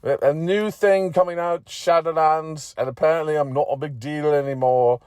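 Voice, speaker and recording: A man's voice: a little high, steady tone, moderate pace, darkish tone, clean tone, no room sound, studio quality, pitched above the performer's own voice, natural voice. A man voicing a small, needy, boastful game creature in an exclaiming, whiny way for a story. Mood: wounded, pleading.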